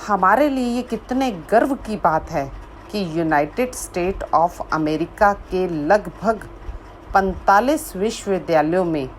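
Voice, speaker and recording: A woman reciting a poem she wrote herself, in a measured voice that repeats lines for emphasis, after a short spoken introduction. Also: lowish voice, slight background noise, rough recording. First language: Hindi. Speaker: poet. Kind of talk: slow recited poetry.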